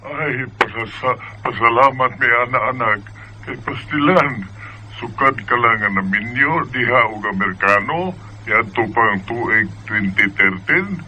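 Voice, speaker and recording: Bright-voiced, caring older Visayan father. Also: mid-range voice, measured pace, slight background noise, low-quality recording. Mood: caring, urging. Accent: Filipino Visayan. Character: caring older Filipino father urging his daughter home. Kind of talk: Bisaya radio drama acting.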